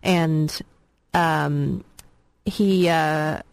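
A woman's voice: sits low, fairly even intonation, very slow, creaky, no echo. A woman in her thirties, originally from Vietnam, a film director speaking as a radio guest in a reflective, rambling way with many fillers. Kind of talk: radio interview, personal storytelling.

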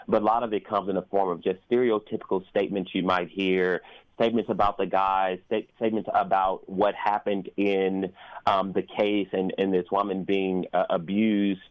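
An American man speaking as a guest in a conversational radio interview, reflective and often halting as he searches for his words. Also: low voice, no room sound, muffled recording. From United States. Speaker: opera singer and interview guest.

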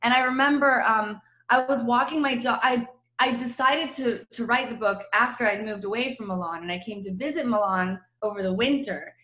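A woman from Croatia, a novelist guest on a radio interview by phone, chatty and animated, laughing often. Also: on the high side, moderate pace, echoey room. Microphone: phone call.

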